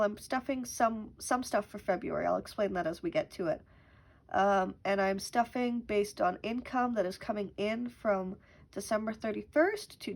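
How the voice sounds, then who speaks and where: A woman's voice: medium pitch, darkish tone. A young woman who is sick with a cough. She chats casually while counting cash aloud as host of a budgeting channel at home. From Canada. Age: young adult. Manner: chatty, counts money aloud.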